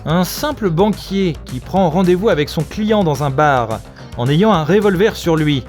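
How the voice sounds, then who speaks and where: High-voiced, animated, cocky male detective, acted. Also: unhurried, faint music behind. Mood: accusing. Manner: wry, animated, self-assured. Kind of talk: comedic audio drama acting.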